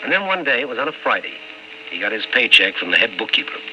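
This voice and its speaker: A man's voice: mid-range voice, very animated, fast talker, natural voice. A man playing a wry, skeptical storyteller in an old-time radio drama, with dry, world-weary delivery. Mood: wry narrating. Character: wry, skeptical male night-beat storyteller. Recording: dull old radio recording with music.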